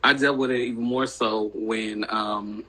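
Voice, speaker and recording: Male podcast guest, husky voice, relaxed and reflective. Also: on the low side, a little slow, low-quality recording. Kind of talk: casual podcast chat.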